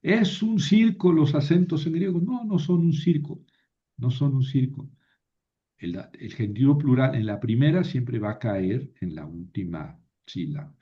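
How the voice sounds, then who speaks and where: A man's voice: mid-range voice, a little slow. A man teaching a recorded grammar lesson, explaining step by step and answering his own questions. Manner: patient, explains step by step and repeats key rules. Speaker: Greek teacher. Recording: dull lo-fi sound.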